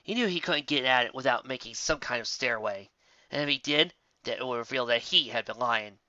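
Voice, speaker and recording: An expressive narrator reads a story aloud, dropping in casual asides. The voice is bright and mid-pitched, recorded very dry with a slightly muffled, lo-fi sound. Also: a little fast, dry recording. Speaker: narrator reading fan fiction aloud.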